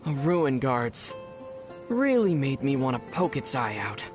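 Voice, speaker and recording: A calm, wry young adventurer. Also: male voice, medium pitch, measured pace, over music. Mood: reflective. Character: young male traveler hero, calm, dry-witted, gently teasing. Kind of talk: video game character acting.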